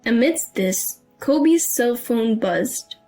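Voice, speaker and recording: A woman's voice: on the high side, lively intonation, very slow, mellow, faint music behind. A woman reading a scripted first-person story as its narrator.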